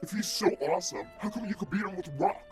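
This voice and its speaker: Man playing high-pitched, excitable whiny supervillain. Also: quick pace, soft background music, close to an everyday voice. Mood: sulky, mocking. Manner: mocking, slangy, excitable. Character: hot-headed, whiny male supervillain henchman. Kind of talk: comedic radio play acting.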